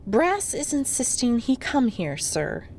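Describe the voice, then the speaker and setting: A woman's voice: a little high, big pitch swings, slow pace, a little mellow, a little hiss or hum, heavily acted. A woman acting as a dutiful military subordinate in a sci-fi audio drama, careful and deferential. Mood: insistent, respectful. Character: dutiful female military subordinate reporting to her commander.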